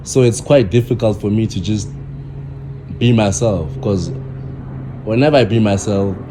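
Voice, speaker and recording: A young man from South Africa, a guest on a podcast, talking casually with halting starts and repeated words. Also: on the low side, noisy background. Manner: halting, repeats himself, many fillers. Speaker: guest, content creator.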